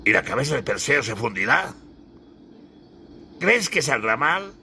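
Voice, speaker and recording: A man's voice: mid-range voice, big pitch swings, unhurried, bright, forceful tone, a little hiss or hum, pitched above the performer's own voice, exaggerated voice. A man voicing a haughty, irritable Renaissance grand duke in an audiobook, imperious and questioning. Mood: doubting.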